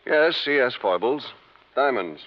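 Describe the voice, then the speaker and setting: A man's voice: mid-range voice, animated, measured pace. An actor in a 1950s radio drama playing a loyal, self-assured executive in his thirties, speaking matter-of-factly. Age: 30s. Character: smooth, loyal oil company lieutenant, about thirty-five. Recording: dull old radio recording.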